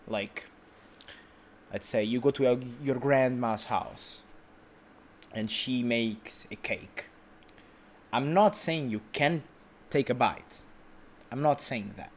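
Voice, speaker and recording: A young man giving an emphatic motivational talk, repeating his points and often asking "right?". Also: medium pitch. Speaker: motivational speaker. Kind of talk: motivational monologue.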